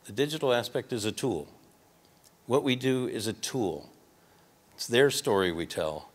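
A man's voice: mid-range voice, expressive, a little slow, husky. An older man with a Midwestern American accent giving a casual, folksy conference talk from a podium with a handheld mic, often restarting his sentences. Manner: conversational, folksy, trailing restarts. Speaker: award recipient speaker. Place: large hotel ballroom stage. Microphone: handheld microphone at a podium.

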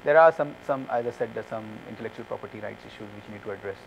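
Guy in his 30s talking quick at a conference q&a, lapel mic, bit of hum. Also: on the low side, gravelly, rough recording. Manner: fast, hesitant, many fillers and restarts. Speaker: conference speaker. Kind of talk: answering audience questions. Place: conference room with curtains.

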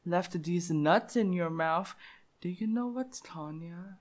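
A man's voice: sits high, takes it slowly. A young man with a lisp doing intimate boyfriend ASMR roleplay in a playful, teasing manner.

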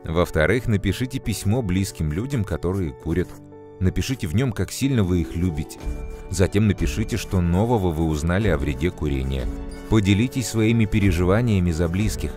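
A deep-voiced calm cartoon scientist. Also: male voice, music in the background. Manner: measured, instructive, earnest. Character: calm adult male scientist explaining to children. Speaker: explainer. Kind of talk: scripted educational narration.

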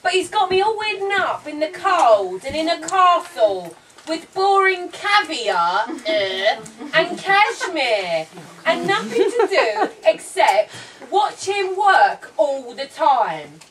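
A woman's voice: extremely high pitch, very animated, slow pace, fairly bright tone, full character voice. A woman voicing a cheeky, chatty cat in a live puppet show, chummy and slangy with the audience. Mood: chatty, confiding. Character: cheeky chatty cat narrating her tale, longing to play. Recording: distant camera mic, noisy room.

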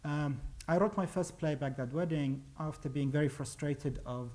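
Mellow-voiced playwright talking hesitantly. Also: male voice, fairly high pitch, moderate pace. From Iraq. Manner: hesitant, many restarts, gesturing. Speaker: playwright giving a talk. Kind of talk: lecture on his own plays. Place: lecture stage before a pale wall.

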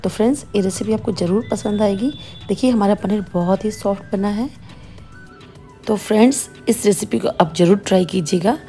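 Woman doing a cooking voice-over, calm step by step explaining, mellow voice, faint music behind. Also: mid-range voice, normal speed. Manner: patient, explains each step plainly. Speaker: cooking channel host.

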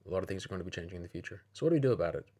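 A man giving an opinionated video commentary, speaking in a measured, deliberate and persuasive way. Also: sits low, animated, dark tone, husky.

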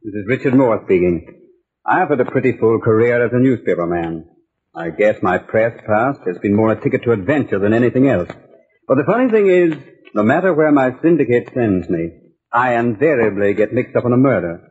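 Newspaperman, medium pitch, easygoing, wry, conversational narration, vintage radio sound, narrow band. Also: animated, a little fast, a little mellow, slightly rough. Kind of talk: old-time radio narration.

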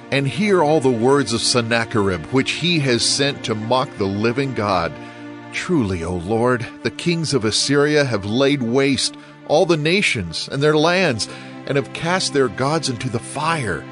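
A man's voice: medium pitch, close to an everyday voice. A man playing a devout, troubled king who prays with reverent pleading in a dramatized scripture recording.

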